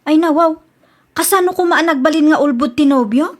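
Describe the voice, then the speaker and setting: Woman acting a trusting young girlfriend, really high voice, radio drama comedy. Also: brisk, lightly acted. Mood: dismayed. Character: hopeful, trusting young girlfriend, easily dismayed.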